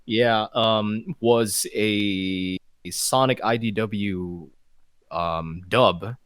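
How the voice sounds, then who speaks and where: A man with a low voice, a guest voice actor chatting casually in an interview, often stammering and restarting as he talks. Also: extremely slow, fairly bright tone, clean tone, dry recording, studio quality.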